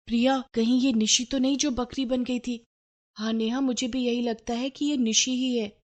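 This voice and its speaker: Scheming little schoolgirl played by a woman, high and eager, for a children's moral tale. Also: steady tone, moderate pace, lightly acted. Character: scheming, excitable young schoolgirl.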